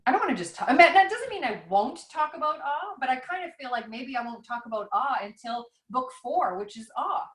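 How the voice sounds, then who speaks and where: Woman on a video call, rambling and thinking out loud, kinda roomy echo around her. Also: sits high, sing-song, fairly quick, few pauses. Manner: rambling, reflective, self-questioning. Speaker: author. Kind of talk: casual conversation over a call.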